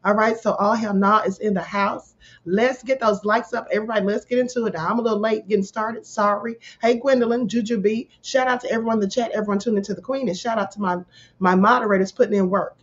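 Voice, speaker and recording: A woman hosts a casual live stream, her voice a little hoarse. She reels off viewers' names in a relaxed, chatty way. The audio is dull and slightly lo-fi. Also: mid-range voice, fast. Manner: greets chat viewers by name. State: hoarse, sore throat. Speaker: livestream host. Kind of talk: casual livestream chat.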